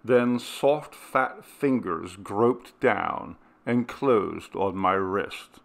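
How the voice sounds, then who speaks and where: Slow, gravelly male storyteller. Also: on the low side. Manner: measured, hushed storytelling. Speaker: audiobook narrator. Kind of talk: scripted narration of a ghost story.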